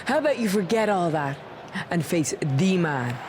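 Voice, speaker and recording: A woman's voice: lowish voice, lively intonation, moderate pace, background noise, natural voice. A woman playing a cocky, threatening female wrestler and gang leader in a video game, with a swaggering, taunting manner.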